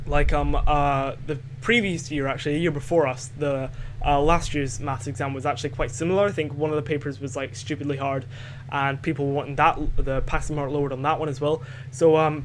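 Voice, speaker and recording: A man's voice: a little high, slight background noise. A teenage boy from Scotland with a light Scottish accent, hosting a home podcast in a hesitant, rambling way full of fillers and restarts. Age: teens. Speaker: podcast host. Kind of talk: casual rambling monologue.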